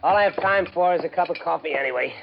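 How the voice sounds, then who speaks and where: A man's voice: a little high, fast talker, gravelly, noisy background. An American actor playing a desperate everyman gambler in a 1950s radio drama. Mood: evasive, impatient. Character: desperate everyman gambler, a husband narrating his troubles. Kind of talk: 1950s radio drama acting, first-person narration. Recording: dull 1950s radio recording, music behind.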